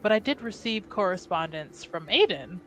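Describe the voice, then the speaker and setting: A woman speaking in character at a tabletop game, measured and pausing between phrases. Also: on the low side, expressive, a bit bright, soft background music, poor audio. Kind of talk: in-character roleplay.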